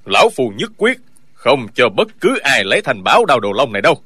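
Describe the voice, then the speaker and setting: A man's voice: medium pitch, very animated, brisk, fairly bright tone, breathy edge, no room sound. An actor voicing a greedy, stubborn old man who quavers and wails as he clings to his treasured saber in an audiobook. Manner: quavering, wailing, grasping. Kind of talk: audiobook character dialogue.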